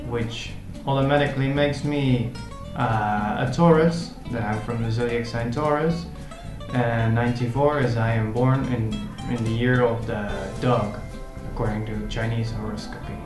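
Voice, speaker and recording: A man's voice: mid-range voice, steady tone, normal speed. Male vlogger, 20s, Dutch accent, casual delivery, echoey room with guitar music underneath. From Netherlands. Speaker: vlog host. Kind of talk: casual chat to camera. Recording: roomy echo, guitar music behind.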